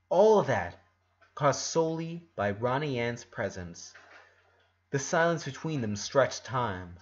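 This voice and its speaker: A man reading a story aloud as an expressive narrator. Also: mid-range voice, muffled recording. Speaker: fan fiction narrator.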